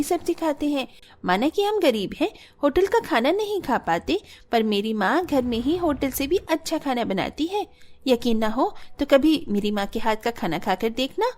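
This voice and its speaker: A whiny little cartoon girl, high voice, stubborn and argumentative. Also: a little mellow. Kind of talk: cartoon story voice acting.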